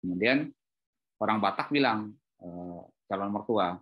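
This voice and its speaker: A man's voice: fairly low pitch, a little slow. Middle-aged man explaining on a call. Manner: animated storytelling, acts out dialogue. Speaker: speaker at an export training webinar. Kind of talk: informal explanatory talk with analogies. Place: indoor room on a group video call.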